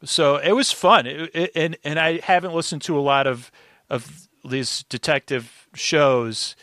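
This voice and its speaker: A man chatting casually as a podcast guest, rambling and halting as he circles back over his words. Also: fairly high pitch, fairly bright tone, no room sound, studio quality. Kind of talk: casual conversation, recapping an old radio show.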